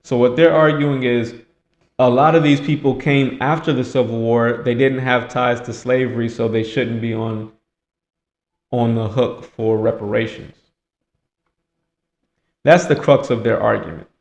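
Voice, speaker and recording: A man's voice: medium pitch, fairly even intonation, a little slow, long pauses. A man giving political commentary in an emphatic, rhetorical way, repeating phrases for effect. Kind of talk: political commentary mixed with reading aloud. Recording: echoey, roomy sound.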